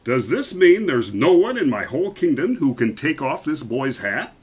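A man's voice: on the high side, huge pitch swings, normal speed, fairly bright tone, husky, pitched above the performer's own voice, exaggerated voice. A man voicing an imperious, exasperated storybook king who bellows his commands. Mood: exasperated, commanding.

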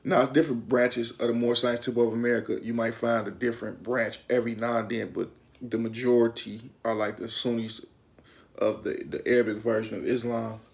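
Detroit man, thirties, gravelly and flat-voiced, laid-back street talk to camera. Casting a relaxed explainer voice. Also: medium pitch, a little fast. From Detroit, Michigan. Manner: relaxed, conversational, loose street slang. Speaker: YouTube host. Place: small room indoors.

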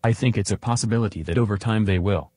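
A man's voice: lowish voice, fast, a little mellow, no echo, clean studio sound, lightly acted. A man playing an earnest, philosophical chatbot in an interview podcast, answering in a succinct, matter-of-fact way. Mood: earnest, measured.

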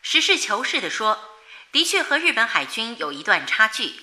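A woman's voice: fairly high pitch, sing-song, normal speed, sharp tone, exaggerated voice. An actress playing a solemn female news announcer reading a formal, declamatory wartime bulletin in a radio drama. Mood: grave, resolute.

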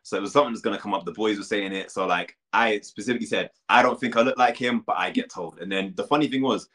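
A man's voice: on the low side, quick pace, fairly bright tone. A man in his thirties talking casually as an interview guest, full of filler words. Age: 30s. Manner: chatty, lots of "like" fillers.

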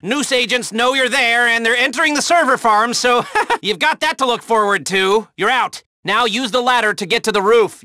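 An actor playing an excitable, wry male hacker who guides a heist crew in a video game, with sardonic asides. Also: sits high, no echo, clean studio sound. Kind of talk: video game voice acting, mission briefing.

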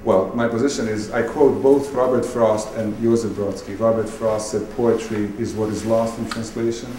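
A man from Bosnia, a native Bosnian speaker, moderating a panel discussion in halting, reflective phrases. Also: fairly low pitch, fairly even intonation, dark tone. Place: public event room, recorded at a distance. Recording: distant echoey hall, clearly noisy.

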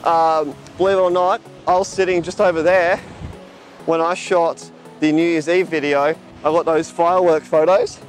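A young Australian man vlogging casually outdoors at the seaside, chatty and relaxed, filmed by a camera a few metres off. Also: a little high, moderate pace, faint music behind, low-quality recording. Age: young adult. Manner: chatty, relaxed, filler-heavy, gestures while walking. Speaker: travel vlogger. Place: outdoors at a sunny seaside lookout, jetty and beach. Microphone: camera on a tripod a few metres away.

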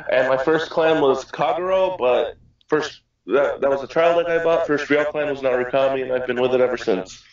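A man's voice: medium pitch, normal speed. A twenty-year-old man chatting casually in a group, blunt and joking. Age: 20s. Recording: echoey room, distant mic, dull sound.

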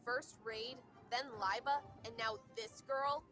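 A woman's voice: a little high, fairly even intonation, slow, pushed and bright. A woman playing an indignant, disbelieving young Pokemon rival with an exasperated manner in a fan comic dub. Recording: faint music under the voice.

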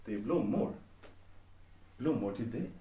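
A man's voice: mid-range voice, lively intonation, dark tone, natural voice. A man playing a hot-headed, protective young art student in an audio drama, emotional and protesting. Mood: worried. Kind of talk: Swedish audio drama acting. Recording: roomy, echoing interior sound.

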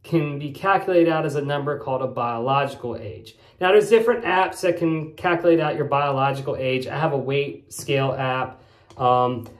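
Chatty man in his forties, echoey bathroom. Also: on the high side. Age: 40s. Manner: chatty, rambling, folksy explanations. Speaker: shaving vlog host. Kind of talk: casual chat while shaving. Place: small home bathroom, indoors.

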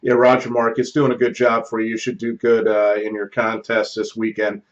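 A man's voice: lowish voice, monotone, moderate pace, muffled recording. A middle-aged American man chatting casually as an amateur radio operator, using call signs and phonetic spelling. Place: home office radio room. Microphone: close studio microphone on boom arm.

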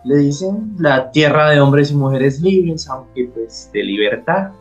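A man playing a shy, tender with a hesitant, gentle manner in a radio drama. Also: on the high side, expressive, unhurried, soft background music. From Colombia. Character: shy, tender Colombian man hosting a companion.

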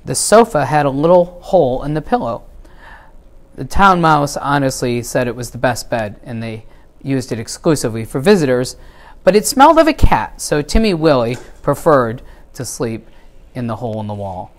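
A middle-aged man reads a children's story aloud in a measured, expressive way. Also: mid-range voice. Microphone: lapel mic.

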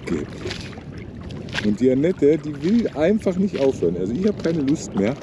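An older man narrates a sailing trip outdoors on a lagoon, speaking casually into a camera mic. His pitch swings widely as he teases his partner. Wind and water noise sit clearly under his voice. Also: very animated, normal speed. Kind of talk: casual running commentary while filming.

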